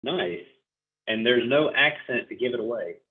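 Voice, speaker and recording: A man's voice: medium pitch, lively intonation, normal speed. Chatty man on a tinny video call. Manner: upbeat, chatty, encouraging. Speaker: sales trainer hosting a video call class. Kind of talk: casual group video call facilitation. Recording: tinny phone-like audio.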